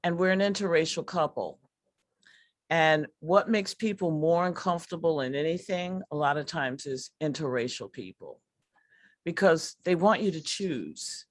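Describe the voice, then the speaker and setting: A middle-aged woman, a comedy performer, telling personal stories in a candid, conversational way with deliberate pauses. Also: sits low, dry recording, studio quality.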